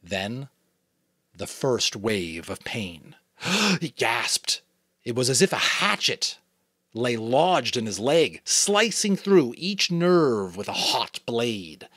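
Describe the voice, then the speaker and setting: New england dad reading a ya novel out loud, voice jumps all over, dry close sound. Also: male voice, medium pitch, takes it slowly, slightly rough, dry recording. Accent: New England American. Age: adult, a father. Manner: animated, expressive storytelling. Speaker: audiobook reader. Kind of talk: dramatic audiobook reading with commentary.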